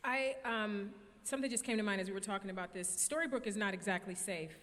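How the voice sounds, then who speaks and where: A woman's voice: medium pitch, fast, a bit bright. A woman moderating a fan convention panel in a playful, gushing, informal way. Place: large convention hall stage. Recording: echoey convention hall PA, noisy.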